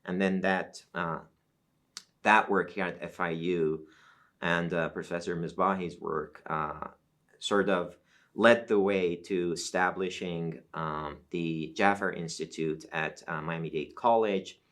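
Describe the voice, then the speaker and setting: A middle-aged man from Iran, whose first language is Azeri Turkish, speaking as a professor guest in an academic interview, measured and explanatory with frequent fillers. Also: deep voice, fairly even intonation, a bit bright.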